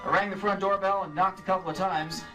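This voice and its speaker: A man's voice: high-pitched, steady tone, fast, dull audio, lightly acted. A man playing a cool, wry insurance investigator who narrates matter-of-factly in old radio detective style. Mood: matter-of-fact narrating. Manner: hard-boiled, matter-of-fact. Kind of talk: 1940s-50s radio detective acting. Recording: live stage re-creation, distant mic, music.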